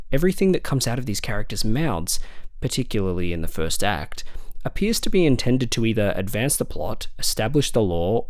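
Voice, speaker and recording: A man's voice: medium pitch, a little mellow, dry recording. Male film reviewer, wry and dry, emphatic asides, close dry sound. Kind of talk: semi-scripted video review to camera.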